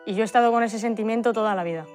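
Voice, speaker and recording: A woman's voice: fairly high pitch, racing, a little mellow, faint music behind. A woman adopted from Russia speaking candidly and reflectively in a documentary interview.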